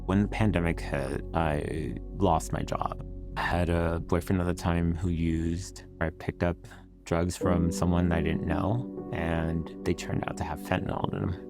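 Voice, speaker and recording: A man from El Salvador telling his personal story in a measured, reflective way. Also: low voice, little pitch movement, gravelly. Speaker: research interviewee. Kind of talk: personal testimony. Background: music.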